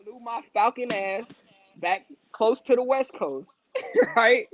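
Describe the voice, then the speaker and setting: A man's voice: very high voice, takes it slowly, a bit bright, a little hiss or hum. Man telling his story in streetwise slang. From Long Beach, California. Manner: streetwise, profane, restless, laughs often. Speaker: interview guest. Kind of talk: candid storytelling. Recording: dry, muffled lo-fi audio.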